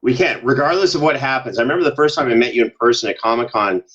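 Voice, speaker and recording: The recording is a little dull and lo-fi. A man of average pitch talks casually as an interview guest, spinning anecdotes with animation and slipping into quoted back-and-forth as he goes. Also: rapid-fire. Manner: animated storyteller, acts out quoted exchanges. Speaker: podcast guest, audio drama producer.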